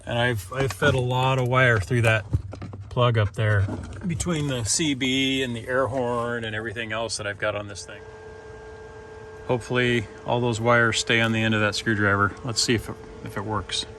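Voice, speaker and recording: A man's voice: medium pitch, fairly even intonation, normal speed, fairly bright tone, a little hiss or hum. A man giving a casual, hands-on install walkthrough, explaining it informally step by step. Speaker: DIY video presenter.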